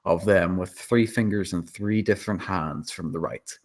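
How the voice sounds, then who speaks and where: A man's voice: low voice, normal speed. A man reading stage directions as the narrator of a dramatic screenplay table read.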